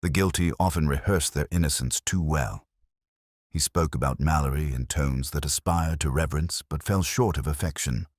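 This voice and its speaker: A man narrating a detective mystery in the first person, with a measured, brooding, literary delivery. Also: a bass voice, no echo, studio quality.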